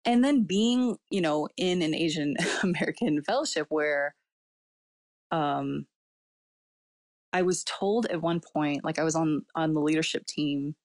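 A woman's voice: low voice, unhurried, no room sound. A woman whose first language was Cantonese, speaking in a casual interview and reflecting at length with many fillers and frequent laughs. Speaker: guest. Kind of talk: casual personal interview talk.

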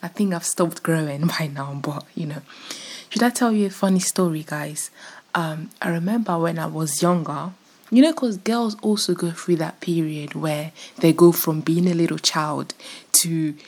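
A woman in her twenties hosting her own podcast, chatting casually and rambling with frequent little laughs, her voice sounding rougher and deeper than usual. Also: moderate pace, a little mellow. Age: 20s. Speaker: podcast host. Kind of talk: casual solo podcast chat.

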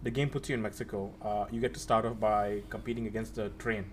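A man's voice: lowish voice, fairly even intonation, fast, slight background noise. A young man hosting a casual chat about video games, talking in a rambling way with many fillers and restarts. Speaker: podcast host.

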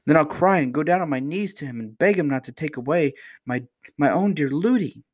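A man's voice: fairly high pitch, lively intonation, brisk, voice pushed up. A man voicing an affectionate little princess in a storybook, a young girl with an earnest, polite, childlike manner. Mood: pleading.

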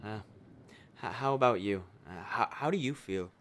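An actor playing a smooth-talking, remorseless killer in a darkly comic radio play, with a glib, conversational manner and dramatic pauses. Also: male voice, fairly low pitch, expressive, fairly bright tone. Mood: bleak. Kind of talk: radio play monologue and dialogue.

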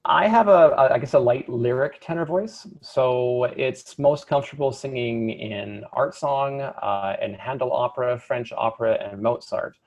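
Gravelly, husky-voiced young male tenor. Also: mid-range voice, a little mellow, highs cut off. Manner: thoughtful, halting with restarts and fillers. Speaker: opera singer, light lyric tenor. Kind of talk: interview conversation.

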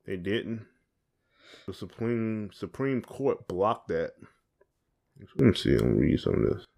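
A man's voice: lowish voice, sing-song, measured pace, gravelly, dark tone. A man co-hosting a podcast in casual chat, rambling and repeating phrases as he thinks aloud. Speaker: podcast co-host.

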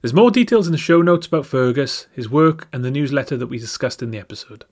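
A man reading a scripted podcast outro in a brisk manner. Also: mid-range voice, lively intonation, a little mellow. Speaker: podcast host.

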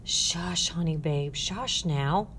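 A woman's voice: fairly low pitch, lively intonation, normal speed. Woman acting a protective old Southern woman, folksy. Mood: soothing. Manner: soothing, folksy dialect, firm when protecting. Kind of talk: audiobook character voice.

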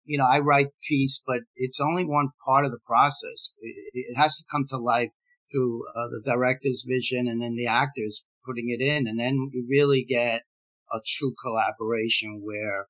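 Older man, sixties, native Spanish speaker, bright tense tone, meandering and full of fillers, dull lo-fi recording. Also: medium pitch, steady tone, moderate pace. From Gibraltar. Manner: rambling, many fillers and restarts, laughs while telling stories. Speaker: playwright. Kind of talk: casual podcast interview. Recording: dull lo-fi sound.

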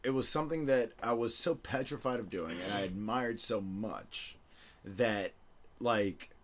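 A man's voice: lowish voice, measured pace. A man from Venezuela with a Midwestern American accent, hosting a casual chat, rambling and piling on filler words with casual swearing.

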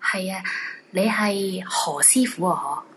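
A woman's voice: lowish voice, slow, a bit bright, slight background noise. A woman playing an anxious, polite, haunted wife in a radio drama, earnest and pleading, with a Hong Kong Cantonese accent.

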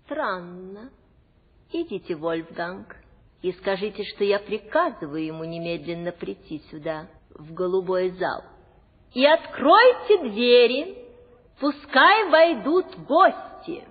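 A woman's voice: medium pitch, expressive, slow pace, slightly rough. An actress playing a stately queen mother who gives orders to her son and her servants in a classic radio play. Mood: commanding. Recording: tinny old recording with music.

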